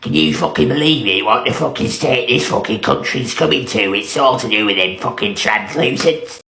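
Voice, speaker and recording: A man's voice: mid-range voice, moderate pace, pushed and bright. A man complaining in a sweary, indignant way. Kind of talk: casual complaint video. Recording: roomy, echoey sound.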